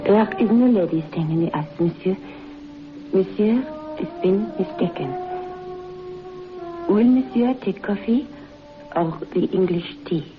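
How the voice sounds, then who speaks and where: An actress playing a timid chambermaid in an old radio drama. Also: female voice, medium pitch, unhurried, pauses often, natural voice. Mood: hesitant. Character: timid female French chambermaid. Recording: tinny old radio recording with music.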